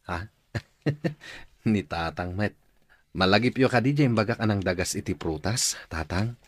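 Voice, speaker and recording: A young Ilocano fruit seller in a radio drama, low and breathy-rough in a dry studio. He is bashful, lovestruck and respectful, speaking with some hesitation. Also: measured pace, dry recording. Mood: wistful.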